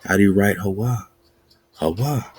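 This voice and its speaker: A man's voice: on the low side, normal speed, a little mellow, slightly rough, no room sound. A man hosting a podcast, giving an emphatic, chanting, preaching-style teaching monologue, laughing often. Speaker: podcast host.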